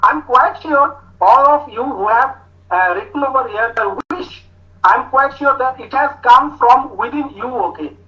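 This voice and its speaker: A man with an Indian English accent giving an online talk to students, speaking slowly with many hesitations as he reads out their answers. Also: extremely high pitch, pushed and bright. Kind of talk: online lecture with student chat. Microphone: video call. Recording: muffled video call audio.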